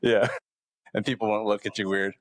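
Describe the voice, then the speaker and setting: Laid-back deep-voiced male podcast host. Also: level tone, moderate pace, dry recording. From Hawaii. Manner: laid-back, says "man" and "like" often. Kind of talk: casual interview chat.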